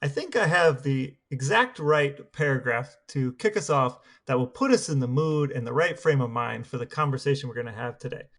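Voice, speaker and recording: A man's voice: medium pitch, normal speed, few pauses, a bit bright. A man co-hosting a podcast, chatting casually and reading passages aloud. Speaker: podcast co-host.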